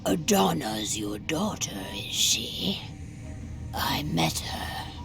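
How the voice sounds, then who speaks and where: A woman playing a proud, vengeful captive werewolf pack leader in a video game, grave and deliberate, in a breathy half-whisper. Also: deep voice, sing-song, heavily acted. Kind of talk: dramatic video game acting. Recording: music behind the voice.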